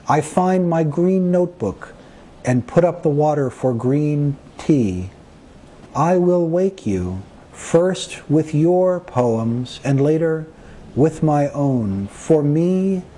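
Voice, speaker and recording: A man reading his own poems as a poet, with measured, deliberate phrasing and pauses between short phrases. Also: fairly high pitch, warm tone, a little hiss or hum. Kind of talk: poetry reading of own work.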